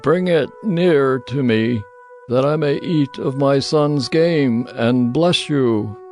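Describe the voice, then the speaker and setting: Casting: man to play elderly biblical father, solemn, very unhurried delivery, music bed behind. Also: medium pitch, a little mellow, close to an everyday voice. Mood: tender, solemn. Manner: slow, deliberate, solemn. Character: old, dim-eyed father Isaac, solemn patriarch. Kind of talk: dramatized Bible audio acting. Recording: music under the voice.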